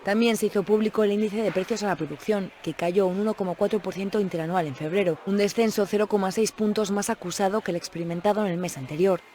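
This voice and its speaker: A reporter reading a scripted news report voice-over in an even, formal manner. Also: mid-range voice, fast, few pauses, slight background noise.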